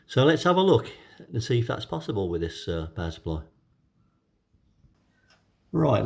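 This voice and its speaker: A man presents a hobby demonstration. His delivery is casual and unhurried, with hesitations as he thinks out loud while he works. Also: fairly low pitch, creaky. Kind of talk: casual hands-on demonstration narration.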